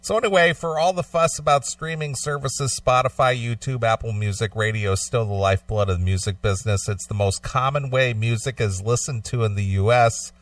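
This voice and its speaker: A man's voice: medium pitch, brisk, few pauses, no echo, distant mic. A man co-hosting a radio talk show, reading out news items and adding casual commentary.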